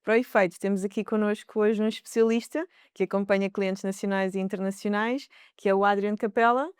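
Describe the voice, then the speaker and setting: A woman hosting a podcast interview, friendly and quick to prompt her guest with short acknowledgements. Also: medium pitch, steady tone, clear, resonant tone, no echo, studio quality. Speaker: podcast host.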